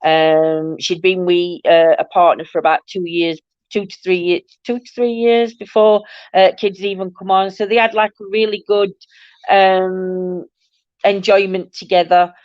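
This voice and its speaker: A middle-aged woman hosts a casual livestream. Her voice is croaky and a bit nasal, and she rambles affectionately to her viewers. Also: lowish voice, little pitch movement, unhurried, dry recording, lo-fi sound. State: croaky, nasal from hay fever. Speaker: livestream host. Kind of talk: casual live-stream chat with viewers.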